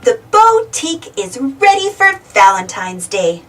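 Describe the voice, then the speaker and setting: A woman's voice: sits high, big pitch swings, measured pace, fairly bright tone, distant mic, faint music behind. A woman narrating a children's storybook as a scripted read-aloud.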